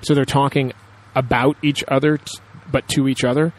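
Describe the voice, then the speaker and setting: A man's voice: mid-range voice, little pitch movement, moderate pace, poor audio. A man co-hosting a casual discussion show, talking in a rambling, enthusiastic, analytical way. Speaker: podcast host.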